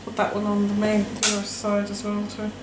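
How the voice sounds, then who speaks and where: A woman's voice: mid-range voice, monotone, a little fast, noisy background. Chatty Irish woman in a roomy space. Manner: chatty, teasing banter. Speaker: soapmaker. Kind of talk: casual chat while cutting soap.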